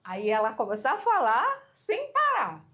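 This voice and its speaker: A woman's voice: fairly high pitch, wildly swooping pitch, a little slow, pushed and bright. A woman with a Haitian accent, speaking earnestly about her child's schooling. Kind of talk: documentary interview.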